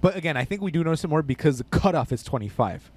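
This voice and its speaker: A man's voice: fairly high pitch, animated, racing. A young man hosting a casual podcast chat, swearing freely and reading out lists of names and numbers. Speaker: podcast host.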